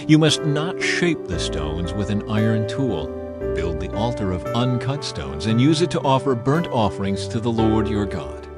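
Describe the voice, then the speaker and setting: A man reading scripture as a dramatized audio Bible narrator, in a solemn, measured and declamatory manner. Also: lowish voice, very animated. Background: music behind the voice.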